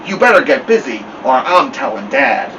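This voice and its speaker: A man voicing an excitable, urgent young boy in a story reading. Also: medium pitch, moderate pace, distant mic, dull audio, noisy background, natural voice. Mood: defiant.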